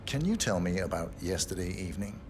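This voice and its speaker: An actor playing a calm, formal private detective questioning suspects in a video game, terse and controlled. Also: male voice, low voice, fast talker. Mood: businesslike. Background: background music.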